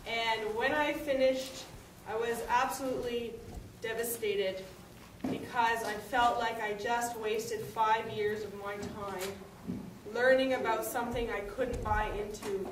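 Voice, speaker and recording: Woman farmer at a panel, candid and lively, high voice, far from the mic in a noisy echoing hall. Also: a little slow. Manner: candid, lively, laughs often. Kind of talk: symposium panel talk. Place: university lecture hall. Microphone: room microphone at a podium.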